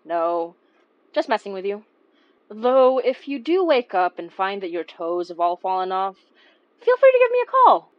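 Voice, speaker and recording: A woman's voice: mid-range voice, sing-song, moderate pace, dull audio, close to an everyday voice. A woman playing a wry, caring paranormal investigator who narrates a horror audio drama in an intimate, conversational way. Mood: teasing. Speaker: lead character and narrator.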